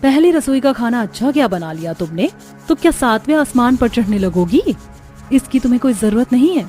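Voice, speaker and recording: A woman's voice: a little high, lively intonation, a little fast, mellow, faint music behind, close to an everyday voice. A woman voicing a domineering, spiteful elder sister-in-law, haughty and taunting, in a cartoon.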